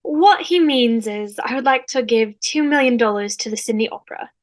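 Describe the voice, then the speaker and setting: A woman's voice: fairly high pitch, moderate pace, natural voice. A woman playing a gracious, wordy, name-dropping rich socialite in a scripted comedy read over a video call. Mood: gracious, lofty. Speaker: fan table-read actor.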